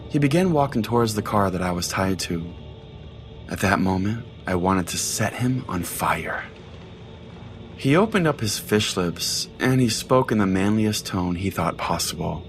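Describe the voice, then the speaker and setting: A man narrating a scripted first-person comic story as a podcast host, in a dramatic, wry manner. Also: lowish voice.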